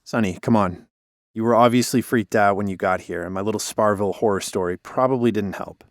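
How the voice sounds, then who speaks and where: Lowish male voice, dry close sound, weary washed-up radio DJ, sardonic, self-deprecating, mystery audio drama, acted by a man. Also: fairly quick, no echo, natural voice. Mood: wry, teasing. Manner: sardonic, conversational. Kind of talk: naturalistic audio drama dialogue.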